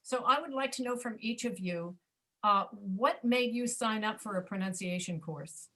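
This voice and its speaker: Woman teacher, mid pitch, casual friendly class leader. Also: moderate pace, sharp tone. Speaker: pronunciation teacher.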